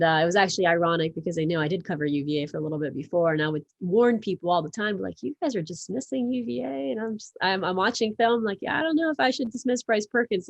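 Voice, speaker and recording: A woman's voice: lowish voice, gravelly, no echo. A woman in her thirties whose first language is Portuguese, talking through sports coverage as a guest with many fillers and restarts. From Miami, Florida. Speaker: sports publisher, podcast guest. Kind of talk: casual interview chat.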